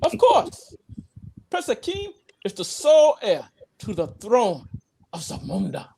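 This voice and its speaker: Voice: medium pitch, huge pitch swings, slow, gravelly, dark tone, slight background noise, voice pushed up, exaggerated voice. A man voicing a proud, haughty herald of an African king in a comedy table read.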